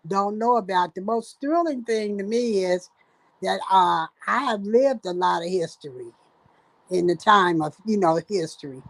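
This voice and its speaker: Older woman from Ohio, halting speech. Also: mid-range voice, gravelly, dry recording, poor audio. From Dayton, Ohio, USA. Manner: halting, many fillers and restarts. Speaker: guest, host's mother. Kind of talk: casual chat.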